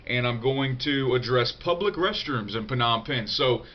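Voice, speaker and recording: A young man talks through a head cold, his voice stuffy and nasal, at an ordinary middle pitch. He answers viewer questions in a loose, profane way, full of fillers and restarts. The recording sounds dull and a little lo-fi. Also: unhurried. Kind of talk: casual unscripted vlog talk.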